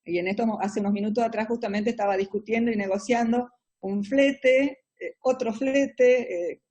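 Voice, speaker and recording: A middle-aged Argentine woman with an Argentine Spanish accent, answering interview questions about her business in an explanatory way, often pausing on fillers. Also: a little high, steady tone, a little mellow. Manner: explanatory, hesitant with many fillers. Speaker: businesswoman guest.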